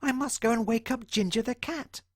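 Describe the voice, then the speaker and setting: A tiny, frightened storybook mouse, squeaking high above a normal speaking voice. He frets and exclaims anxiously, and the sound is close and dry. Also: moderate pace, no room sound. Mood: worried. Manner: fretful, exclaiming.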